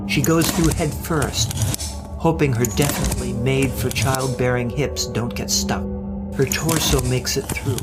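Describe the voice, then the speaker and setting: A man narrates a scripted thriller drama in a mid-range voice. He tells the story with a wry edge, while prominent music plays behind him. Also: medium pitch.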